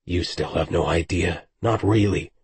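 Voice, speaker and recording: A man voicing a brooding, powerful otherworldly warrior with a low husky growl, reading an audiobook. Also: measured pace, a little mellow, no room sound, exaggerated voice.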